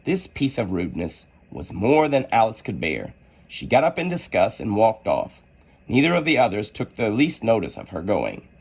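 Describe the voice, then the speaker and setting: A man narrating a children's story aloud in an expressive, theatrical manner. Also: lowish voice, normal speed, gravelly, husky. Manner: animated, theatrical delivery.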